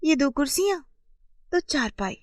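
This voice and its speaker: A woman's voice: high voice, big pitch swings, moderate pace, dry recording, studio quality, voice pushed up. A woman voicing a proud, impatient young village woman who longs for riches, with a complaining, self-important manner, in a storybook tale. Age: young adult.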